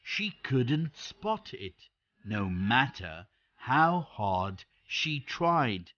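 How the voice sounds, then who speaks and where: A storyteller narrating a children's fairy tale from a script in short, deliberate phrases. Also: mid-range voice, big pitch swings, a bit bright, dry recording, muffled recording. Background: music.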